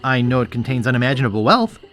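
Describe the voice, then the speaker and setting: Young wannabe pirate hero in a game, earnest n wry, pitch hops around, music behind. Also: male voice, very animated, fast, fairly bright tone. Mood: curious. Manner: polite, inquisitive, wry. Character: naive, earnest young male would-be pirate. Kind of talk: video game dialogue acting.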